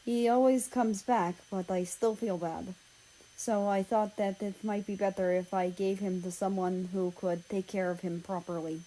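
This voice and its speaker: A woman reading a story aloud as a gentle, lonely, self-doubting man, subdued and hesitant. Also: medium pitch, steady tone, normal speed, lightly acted, poor audio. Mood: apologetic.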